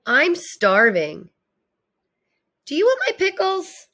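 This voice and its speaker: Woman voicing a curious little baby tooth, swooping pitch. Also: huge pitch swings, slow pace, sharp tone, exaggerated voice. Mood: hungry, eager. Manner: eager questioning. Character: small childlike baby tooth, curious, eager, inquisitive.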